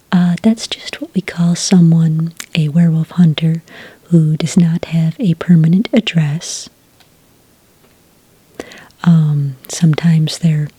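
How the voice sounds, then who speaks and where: A woman doing a soft-spoken ASMR roleplay as a polite, patient clerk, whispering gently close to the microphone. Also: low voice, dry recording. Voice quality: whispery, breathy. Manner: gentle, polite, unhurried customer-service patter. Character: friendly, patient female registration clerk at a hunting agency.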